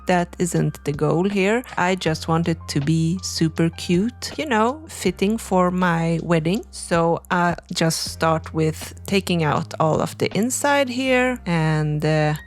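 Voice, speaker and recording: A young woman narrates a craft tutorial at an easy, unhurried pace. Her voice sits fairly low and carries a gravelly texture, and she talks casually, with plenty of "like" and "you know." Faint music plays behind her. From Sweden. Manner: relaxed, chatty explaining with frequent "like" and "you know". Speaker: crafting channel host.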